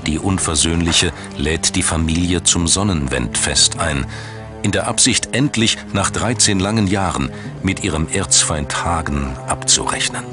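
Deep male doc narrator, measured n dramatic, music behind him. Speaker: documentary narrator. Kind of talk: scripted documentary narration. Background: background music.

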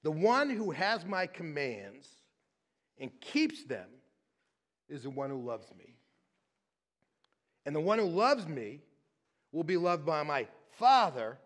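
Conversational middle-aged man preaching. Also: a little high. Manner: conversational, animated, frequent restarts and "right?". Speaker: pastor. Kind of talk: sermon. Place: church stage, indoors.

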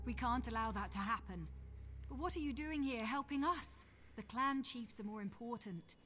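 High priestess, somewhat high pitch, commanding, indignant, emphatic declamation, fantasy video game. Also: female voice, a bit bright, slight background noise. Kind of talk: video game dialogue acting.

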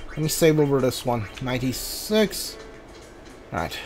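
A game streamer giving casual playthrough commentary, thinking aloud in a halting way. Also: medium pitch, very animated, a little mellow. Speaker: gameplay streamer. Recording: game music behind the voice. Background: video game music and sounds.

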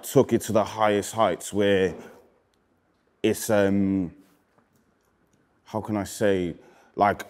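A man in his thirties from Wales with a Cardiff accent, a rapper casually reading out comments in a relaxed, slangy way that often trails off quietly. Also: on the low side. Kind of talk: casual chat. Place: indoor studio with graffiti wall.

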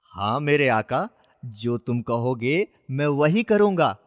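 A man's voice: mid-range voice, very animated, slow pace. A man plays a friendly enchanted umbrella in a cartoon bedtime tale. It speaks like an obliging, reassuring servant, with long reverb and a slightly dull sound. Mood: eager obedience. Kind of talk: dramatized children's moral story.

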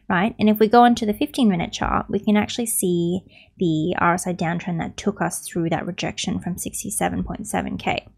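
Raspy-voiced young woman explaining charts. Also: medium pitch. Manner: explanatory, steady, repeats "right?" as a check-in. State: losing her voice, raspy. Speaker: crypto trading analyst. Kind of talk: technical chart analysis walkthrough. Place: small room at home. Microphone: studio mic on stand close up.

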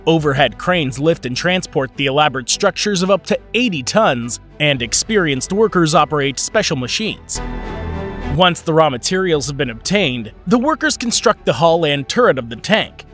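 A man giving scripted documentary narration in an even, informative way. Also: a little high, moderate pace. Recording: voice-over with faint background music.

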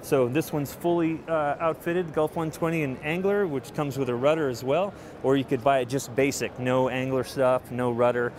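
Man presenting gear amid loud show-floor noise. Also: mid-range voice, fairly even intonation, normal speed, few pauses. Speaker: kayak company representative presenting a product. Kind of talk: casual product walkthrough interview. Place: large indoor convention hall booth. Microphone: handheld interview microphone held by interviewer. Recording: noisy busy trade show floor.

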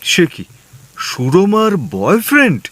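A man's voice: high-pitched, huge pitch swings, slow pace, a bit bright, no echo, exaggerated voice. A man playing an anxious, gullible newly married husband in a comic audio drama, hesitant and easily flustered. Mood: flustered disbelief.

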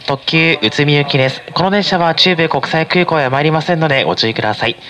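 A man rattles through a formal, routine railway announcement. His voice is a little high and his pace is very fast. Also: sharp tone. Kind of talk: live train announcement.

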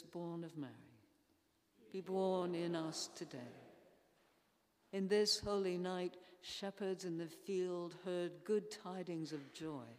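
An older woman leading formal prayers in a solemn, measured way, reading with pauses between phrases. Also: lowish voice. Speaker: church intercessor leading prayers. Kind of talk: formal read liturgical prayer. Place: large church interior. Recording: echoey church hall, long reverb.

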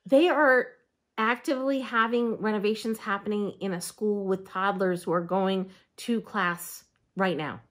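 A woman's voice: medium pitch, unhurried. Direction middle-aged woman hosting, plain mid voice. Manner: informative, steady, with some "um"s. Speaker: show host. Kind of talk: casual interview over video call. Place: indoor room against a plain backdrop.

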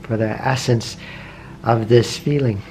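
A man guides a meditation in a soft, unhurried voice of middling pitch, letting long pauses fall between short phrases. His questions come gently and patiently. A faint hiss sits under his voice. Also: fairly even intonation, low-quality recording. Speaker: meditation teacher. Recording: faint background noise with meditation music.

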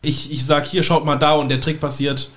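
Higher-pitched man chatting as podcast guest. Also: level tone, moderate pace, a bit bright. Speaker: podcast guest, stage magician. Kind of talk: casual chat, anecdotes.

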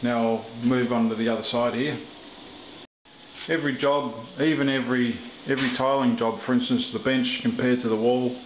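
A man's voice: mid-range voice, steady tone. Middle-aged guy talking through his diy tiling, lots of ums, echoey kitchen, kinda dull camera sound. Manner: halting, with many fillers and restarts. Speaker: home renovator. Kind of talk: casual how-to commentary while working. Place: kitchen under renovation, indoors. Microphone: camera mic, off-camera speaker. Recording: roomy, dull camera audio with faint noise.

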